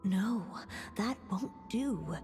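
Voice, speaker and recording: Slow, mellow storybook narrator. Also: female voice, medium pitch, expressive. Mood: resolute. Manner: measured, literary, knowing. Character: composed, wistful female storybook narrator, knowing and theatrical. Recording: long reverb, music behind.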